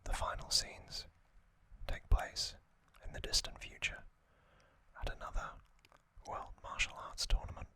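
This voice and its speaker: A man whispering an ASMR narration, telling a story gently in short, hushed phrases as the host. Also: unhurried, low-quality recording.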